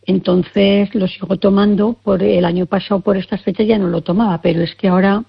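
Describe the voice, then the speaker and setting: A woman's voice: medium pitch, fairly even intonation, fairly quick, creaky, breathy edge, dull audio. An older woman with a persistent cough, hesitantly explaining her symptoms and often searching for words. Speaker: caller seeking health advice. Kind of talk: casual consultation.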